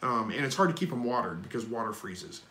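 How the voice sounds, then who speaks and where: A man hosting a live stream, reading viewer questions and answering in a relaxed, folksy, rambling way. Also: medium pitch, fast talker, roomy sound. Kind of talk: casual live chat Q&A.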